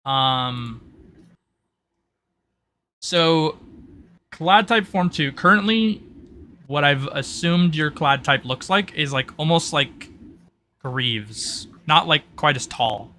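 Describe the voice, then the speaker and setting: A man working as game master, explaining tabletop roleplay rules in a halting way, thinking aloud. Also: on the high side, lively intonation, sharp tone, a little hiss or hum.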